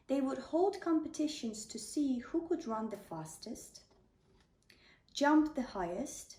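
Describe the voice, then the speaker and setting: Female bedtime storyteller, high and mellow, gentle deliberate delivery, roomy sound. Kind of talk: children's bedtime story narration. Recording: roomy, distant microphone.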